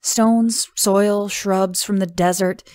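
A woman narrator voicing a lively young artist woman who talks eagerly about her work. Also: medium pitch, slow pace, no echo, pitched above the performer's own voice, natural voice.

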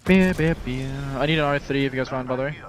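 A man giving rapid, directive team callouts as an esports player, repeating his commands over a gaming headset. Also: mid-range voice, slight background noise. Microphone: gaming headset team voice chat.

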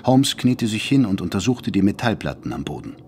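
A man's voice: sits low, sing-song, a little fast, darkish tone, slight background noise. A man narrating an audio drama in a dramatic, scripted storytelling style. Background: dramatic music.

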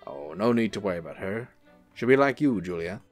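An actor playing a suave, teasing angel in human form, smooth and courtly in a radio-style drama. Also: male voice, on the low side, animated, brisk, faint music behind, close to an everyday voice. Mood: charming, tender.

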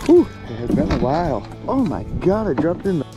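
A man in his thirties, a fishing vlogger, chatting casually and swearing from his kayak, with excited whoops when a fish bites. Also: a little high, brisk, music in the background. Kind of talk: casual outdoor vlog chat. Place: outdoors in a kayak on a river. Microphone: action camera on the kayak.

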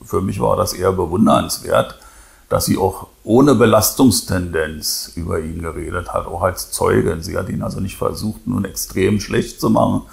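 Deep, very gravelly older male lawyer. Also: normal speed. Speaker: lawyer being interviewed. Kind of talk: spontaneous interview recollection. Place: office with file shelves. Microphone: lapel microphone.

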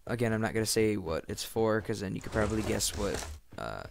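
A teenage boy with a nasal, scratchy voice from being sick, commenting on his gameplay in a casual, animated, rambling way. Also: lowish voice, a little fast. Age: teens. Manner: animated, rambling, breaks into shouting and muttering. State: sick, congested voice with cracks. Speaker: gaming YouTuber. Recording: clear background noise, clicks.

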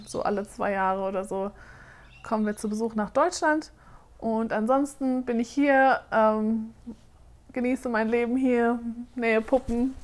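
A woman in her thirties from Germany, chatting casually on camera in a question-and-answer vlog and often pausing with fillers as she searches for words. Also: fairly high pitch. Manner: chatty, hesitant with frequent fillers. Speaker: doll-making vlogger. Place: living room, seated on a sofa.

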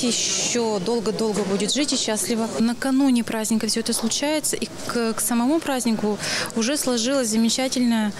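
High-voiced woman in a busy hall. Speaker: street interviewee. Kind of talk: informal vox pop interview answer. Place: large busy indoor public service hall. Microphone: handheld reporter microphone. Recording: busy hall crowd noise behind.

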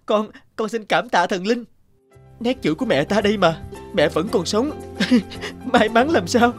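A woman's voice: mid-range voice, animated, a little slow, soft background music, close to an everyday voice. A woman playing an earnest, emotional, devoted young man in a fairy-tale cartoon. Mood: joyful relief. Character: troubled, devoted young man regaining his sight.

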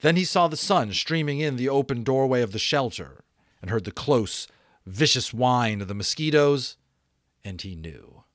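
A man in his thirties reading a novel aloud as an expressive, dramatic audiobook narrator. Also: mid-range voice, moderate pace, no room sound, studio quality. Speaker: audiobook reader, voice actor. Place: small home room, indoors. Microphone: close desk microphone.

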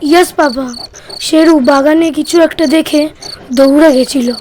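A young boy, voiced by a woman, answers in a high, slow, earnest voice, with faint noise under him in this audio drama. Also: steady tone, darkish tone. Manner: earnest polite child. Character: obedient twelve-year-old boy.

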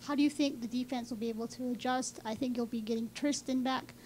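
A woman reporter with a fairly high voice asks direct questions at a press conference. Her voice is off-camera, with a faint hum behind her. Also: steady tone, fast, darkish tone, poor audio.

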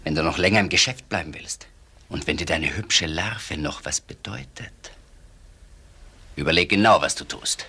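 An actor playing a threatening, deliberate underworld debt collector in a German crime radio play. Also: male voice, a bass voice, sharp tone, heavily acted. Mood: menacing.

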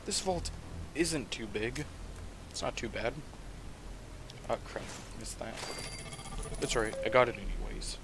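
A man casually commenting on his own gameplay at home, talking quietly and rambling, with the game's sound underneath. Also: mid-range voice, animated, a little slow, long pauses, background noise. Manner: soft-spoken, rambling. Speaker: gaming channel host.

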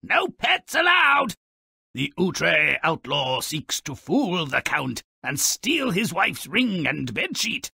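A man voicing a gruff, mischievous gnomish storybook narrator for a video game, with a raspy, gravelly voice and a sardonic, pompous manner. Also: on the high side, very animated, slow, bright, forceful tone, dry recording, full character voice. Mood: gleeful, mocking. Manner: sardonic, pompous, alliterative, relishing each word.

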